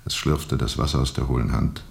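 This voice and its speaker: Ein Schauspieler spielt in einem Hörspiel einen weltmüden, von dunklen Erinnerungen gequälten Flusskapitän, der mit rauer Stimme bedächtig erzählt. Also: male voice, a bass voice, creaky, exaggerated voice. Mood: haunted, grave. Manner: deliberate, pausing and repeating for emphasis. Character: world-weary riverboat captain recounting dark memories, brooding and haunted. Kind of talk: radio drama monologue, slow storytelling.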